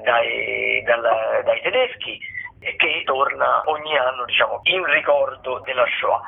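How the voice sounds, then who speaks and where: Male radio critic, mid-range husky voice, wordy and halting informal commentary, narrow telephone sound. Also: mid-range voice. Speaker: regular radio theatre critic. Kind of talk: informal spoken event listings and commentary. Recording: muffled, narrow-band phone line.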